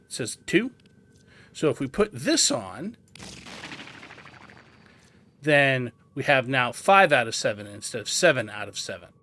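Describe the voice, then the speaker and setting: A man narrates a game tutorial in a bright, forward voice at a middling pitch. He is methodical and explains things step by step. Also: sing-song, a little slow, long pauses, slight background noise. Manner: methodical, instructional. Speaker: game guide host.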